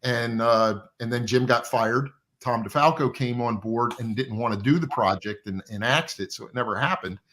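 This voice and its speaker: A man's voice: medium pitch, moderate pace, hardly any pauses, fairly bright tone. A middle-aged or older man telling rambling anecdotes as a guest in a casual interview about his working life. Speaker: comic book artist and interview guest. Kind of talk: casual reminiscing interview chat.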